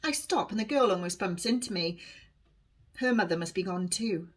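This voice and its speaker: Woman, medium pitch, lively intonation, dramatic storybook narration, expressive author reading. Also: moderate pace. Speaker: author reading their own novel aloud.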